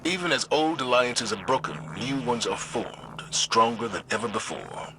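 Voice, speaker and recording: An actor playing a composed, loyal male military adviser in a video game, speaking in a measured, formal and precise way. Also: mid-range voice, very animated, vocal fry, noisy background. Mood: calm, resolute. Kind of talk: dramatic video game voice acting.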